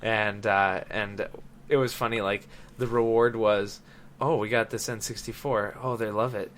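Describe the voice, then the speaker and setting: Male podcast host, late 20s, lowish bright voice, casual conversational delivery, slight background noise. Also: fairly even intonation, measured pace. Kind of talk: casual chat about gaming.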